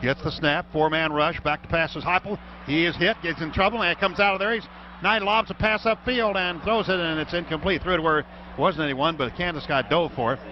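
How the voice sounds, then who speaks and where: High-voiced man calling football over heavy noise. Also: a little fast. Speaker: play-by-play radio announcer. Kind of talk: live football radio commentary. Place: stadium broadcast booth. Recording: noisy, dull radio broadcast recording.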